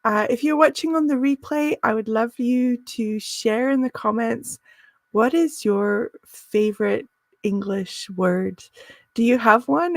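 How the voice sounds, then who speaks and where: Woman, English teacher, live online lesson, slightly gravelly voice, dry close sound. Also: on the high side, dry recording. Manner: friendly, encouraging, with frequent fillers.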